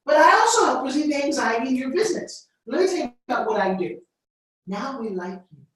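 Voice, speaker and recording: A woman's voice: high-pitched, big pitch swings, fast talker. A woman business coach giving a live workshop, direct, blunt and playful as she gives feedback. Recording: very echoey room, mic far off.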